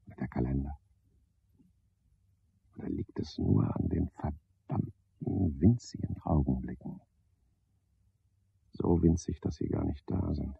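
A man's voice: extremely low pitch, gravelly, breathy edge, no room sound, exaggerated voice. An actor playing a self-important, touchy chairman of a mushroom club in a radio play, talking with cajoling pomposity. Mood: brooding, hushed. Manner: pompous, cajoling, one-sided conversation. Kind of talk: 1970s German radio play acting. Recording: dull, band-limited 1970s radio recording.

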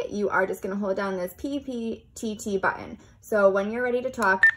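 A woman's voice: medium pitch, fairly bright tone. A woman with a mid-range voice narrates a hands-on product demo. She explains things informally and chattily, like a casual reviewer walking viewers through what is in front of her.

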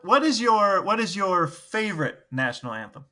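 Male podcast co-host, bright forward tone, a bit high, casual anecdotal chat. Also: big pitch swings, moderate pace.

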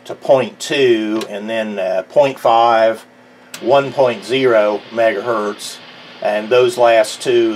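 A middle-aged man giving an unscripted equipment demonstration, halting and hesitant, with very frequent ums and pauses. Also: fairly low pitch, a little hiss or hum. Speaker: radio collector demonstrating a receiver. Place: indoors at a radio bench.

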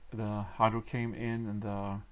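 A man in his forties from Mexico with a Mennonite Low German accent, Low German his first language, talking hesitantly as a guest in a casual interview with many fillers and restarts. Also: level tone, unhurried, clean tone. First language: Plautdietsch. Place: small wood-paneled room indoors.

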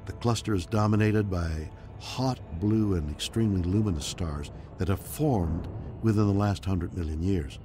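An actor playing a formal, learned male spaceship AI, speaking in a measured, precise way for a game voice pack. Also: low voice, natural voice. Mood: measured, informative. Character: male ship's AI companion, formal, learned, helpful. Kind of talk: scripted game voice pack explanations. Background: music behind the voice.

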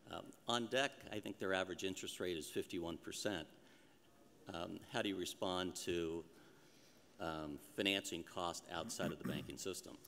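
A man moderating a conference panel, putting measured questions to the speakers. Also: sits low, fairly bright tone. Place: large conference hall, at a lectern. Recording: hall reverb, faint room noise.

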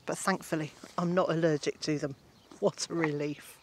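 A woman's voice: low voice, moderate pace, slight background noise. Older woman reading her garden story outdoors. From Britain. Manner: lively storytelling reading with animated emphasis. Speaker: gardening author and homestead host. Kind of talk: reading aloud from her own book. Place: outdoors in a shady garden on a warm day. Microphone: camera-facing, mid-shot.

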